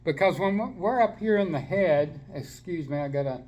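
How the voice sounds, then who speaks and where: A man giving a slow, gentle spiritual talk to listeners while he has a slight cold, with many fillers and restarts. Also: a little high, expressive, a little hiss or hum. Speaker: spiritual teacher.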